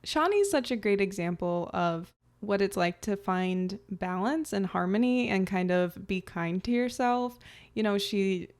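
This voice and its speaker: A woman's voice: medium pitch, animated, measured pace, a little mellow. A woman host speaks with enthusiastic praise as she introduces an episode and its guest.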